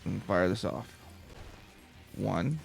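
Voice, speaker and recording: A man with a fairly low, gravelly voice hosts a relaxed let's play. He talks casually, half to himself, as he plays, with faint music behind him. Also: slow. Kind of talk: calm, slow-paced gameplay commentary.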